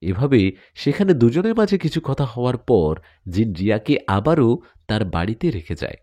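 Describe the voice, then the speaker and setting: Male audio storyteller: dramatic scripted narration, mellow tone, very lively intonation. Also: medium pitch, normal speed, dry recording.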